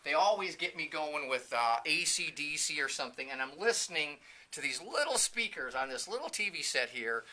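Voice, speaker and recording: A man's voice: a little high, animated, normal speed, bright, forceful tone. A man casually narrating a walking tour indoors in an informal, off-the-cuff, chatty way. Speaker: CEO and presenter of a training company, giving a tour. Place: indoors, walking through a video production facility. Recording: light background noise, room ambience.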